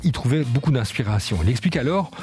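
A man's voice: mid-range voice, animated, quick pace, dark tone, soft background music. A man hosting a casual radio segment, telling a musician's story in a chatty way with frequent fillers. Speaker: radio show host.

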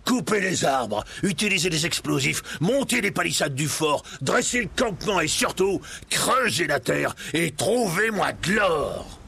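A man doing a gravelly, greedy governor. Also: fairly high pitch, sing-song, measured pace, pitched above the performer's own voice, exaggerated voice. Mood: barking orders. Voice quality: gravelly, shouting orders. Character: greedy, bossy male colonial governor. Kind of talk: storybook character voice.

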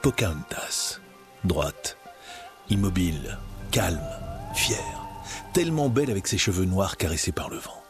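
Low-voiced, expressive male storyteller. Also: measured pace, long pauses, music in the background. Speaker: narrator. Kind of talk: scripted storybook narration.

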